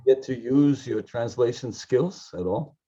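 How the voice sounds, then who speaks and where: A man's voice: mid-range voice, measured pace, mellow, slightly rough. An older man with an American accent, chatting casually as a professor on a video call. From United States, grew up in New York. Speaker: visiting professor and speaker. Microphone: computer video call microphone. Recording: dull, muffled video call audio.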